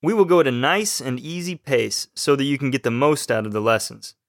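Young texan guy learning along on a podcast, friendly even voice, dry close sound. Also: mid-range voice, a little fast, no echo. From Texas, USA. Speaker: co-host, learner. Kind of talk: scripted podcast lesson.

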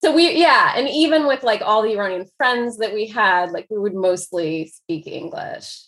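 A woman's voice: medium pitch, normal speed, a bit bright. American woman, 40s, author guest, rambling chatty delivery with lots of laughs. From United States. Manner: chatty, laughs often, many "like" fillers. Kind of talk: casual conversational interview.

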